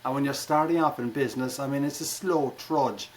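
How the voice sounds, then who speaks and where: A man's voice: mid-range voice, brisk. A middle-aged or older man with an Irish accent, a keynote speaker answering conversationally in an interview with wry, jokey turns of phrase. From Ireland.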